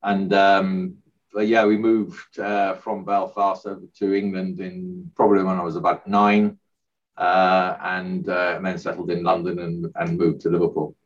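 Very deep-voiced middle-aged guy from northern ireland, lots of uhs, sounds far off and muffled. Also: flat delivery, measured pace. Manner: hesitant, lots of uhs and restarts. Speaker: guest, charity chief executive. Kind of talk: interview answers.

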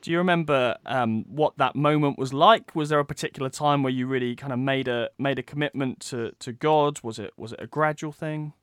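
A man's voice: medium pitch, a little fast, few pauses, fairly bright tone, clear, resonant tone, dry recording, clean studio sound. A man hosting a podcast, introducing his guest and asking friendly, upbeat questions. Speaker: podcast host. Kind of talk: interview hosting.

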